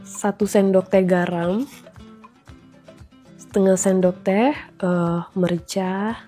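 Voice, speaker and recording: Woman, 30s, stuffy nasal cold voice, mellow, chatty cooking vlogger. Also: mid-range voice, fairly even intonation, slow, faint music behind. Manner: chatty, addresses viewers often. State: recovering from flu. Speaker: vlogger and mother. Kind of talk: casual cooking vlog.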